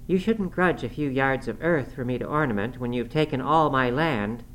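A man voicing a bold, sharp-tongued young woman in an audiobook, pert and insistent. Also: medium pitch, normal speed, voice pushed up, natural voice. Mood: defensive, indignant. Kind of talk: audiobook character acting. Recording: clearly noisy recording.

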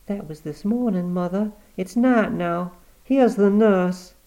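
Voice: mid-range voice, takes it slowly, warm tone, voice pushed up, rough recording. A man reading aloud, voicing a worried middle-aged daughter who soothes her dying mother. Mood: anxious. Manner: soothing, anxious.

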